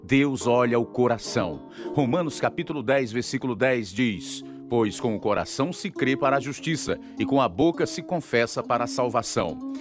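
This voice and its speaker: A man with a Brazilian accent reading a scripted radio program announcement as its presenter. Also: mid-range voice. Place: radio studio. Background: guitar music.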